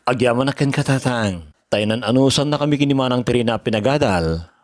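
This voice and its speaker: A man's voice: mid-range voice, fairly quick, lightly acted. A man acts a grateful grown son in an Ilocano drama. His voice is mid-range, with a respectful manner toward his elders. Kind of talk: Ilocano radio drama acting.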